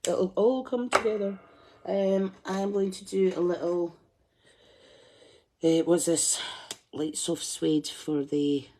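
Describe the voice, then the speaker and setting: A woman's voice: lowish voice, fairly even intonation, takes it slowly, long pauses, dark tone, a little hiss or hum. A woman hosting a craft tutorial, chatting and thinking aloud as she colours, humming to herself while she concentrates. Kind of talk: live colouring demonstration.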